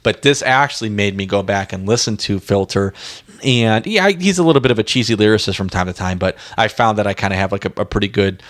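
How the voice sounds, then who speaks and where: A man's voice: fairly low pitch, animated, fast, a bit bright. A man co-hosting a music podcast, chatting casually in a blunt, rambling, slangy way. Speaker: podcast co-host.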